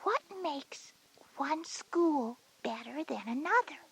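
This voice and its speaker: Breathy, whispery little girl. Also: sits high, lively intonation, slow, a bit bright, exaggerated voice, rough recording. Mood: curious. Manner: inquisitive, childlike. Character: strange, breathy little kindergarten girl, curious younger sister. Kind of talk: scripted children's educational audio drama.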